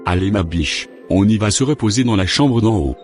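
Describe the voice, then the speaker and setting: A man's voice: lowish voice, lively intonation, moderate pace, a little mellow, lightly acted. A man playing a boastful, worldly backsliding preacher in an amateur cartoon, self-satisfied in manner. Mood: cheerful, flirty. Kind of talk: amateur cartoon monologue and dialogue. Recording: music behind the voice.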